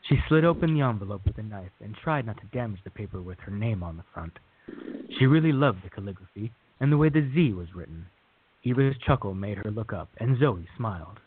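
A man's voice: on the low side, expressive, fairly quick, slightly rough. A man reading scripted story narration for a radio drama. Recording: muffled, phone-like narrow-band audio.

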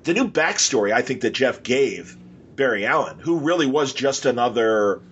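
A man's voice: mid-range voice, big pitch swings, moderate pace, fairly bright tone, lo-fi sound, slight background noise. A man hosting a podcast, chatting casually and enthusiastically in an informal, rambling way. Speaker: podcast host.

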